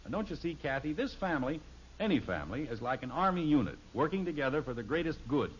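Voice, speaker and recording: A man's voice: fairly high pitch, sing-song, fast talker, a little hiss or hum. An actor playing a strict, exasperated middle-aged father with an American accent, lecturing and commanding in 1950s radio sitcom style. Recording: muffled vintage radio recording.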